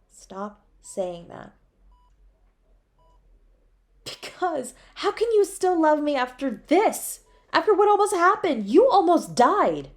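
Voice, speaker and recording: A woman's voice: high-pitched, exaggerated voice. A woman playing a devoted, guilt-ridden superhero in an intimate, emotional audio roleplay, with a pleading, tender way of speaking. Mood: exasperated, distraught. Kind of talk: dramatic audio roleplay, intimate second-person.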